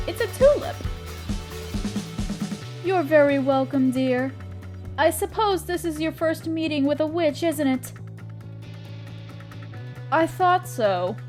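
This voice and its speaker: A woman's voice: high voice, heavily acted. A woman playing a kindly, playful witch in a scripted roleplay audio drama, speaking in a theatrical, coy way with old-fashioned endearments.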